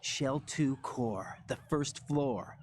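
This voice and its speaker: A man's voice: medium pitch, extremely slow. A man playing an earnest, focused young special agent in a video game cutscene. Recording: loud noise behind the voice.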